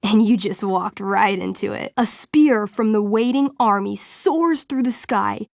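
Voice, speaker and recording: A young female game master in a video game, telling a fantasy tabletop adventure. She takes her time at an unhurried pace, playful and theatrical, savoring every gory detail. The sound is close and very dry, with a middle-pitched voice. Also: creaky, dry recording. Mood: ominous, theatrical. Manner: theatrical storytelling, deliberate, savoring vivid details. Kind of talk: dramatic tabletop game narration, video game acting.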